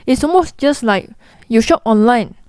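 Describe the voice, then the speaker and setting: Brief: young Singaporean-accented woman, fairly high voice, blunt and chatty vlogger, dry close recording. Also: lively intonation, moderate pace, a little mellow, smooth, no echo. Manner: blunt, chatty, mimics people in quotes. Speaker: investing vlogger. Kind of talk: direct-to-camera explainer.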